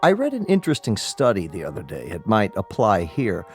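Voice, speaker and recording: An actor in a radio drama playing a gravely polite family doctor who speaks in a deliberate, professorial way. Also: male voice, on the low side, wildly swooping pitch, darkish tone, soft background music. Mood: grave, explanatory.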